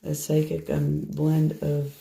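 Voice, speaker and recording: Hoarse, low-voiced woman reading tarot. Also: monotone, slow pace, poor audio. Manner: soft, meandering, half to herself while handling cards. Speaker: tarot reader. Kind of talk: casual tarot reading monologue.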